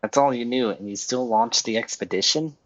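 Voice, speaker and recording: A man's voice: mid-range voice, fairly quick, highs cut off, a little hiss or hum. A man giving casual commentary as he streams a game playthrough.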